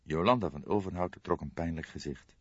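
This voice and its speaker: A man's voice: low voice, fairly quick, breathy edge, no room sound, muffled recording. A man reading a crime novel as scripted audiobook narration.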